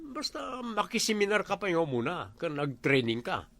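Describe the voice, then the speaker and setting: A man's voice: high voice, very animated, normal speed, fairly bright tone, full character voice. A man with an Ilocano accent playing a teasing, nagging village husband in a comedy drama.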